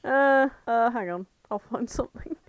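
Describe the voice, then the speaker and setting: A woman's voice: fairly high pitch, moderate pace, dull audio, crowd noise. A woman co-hosting a casual chat, bantering with playful protest and swearing. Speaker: podcast co-host.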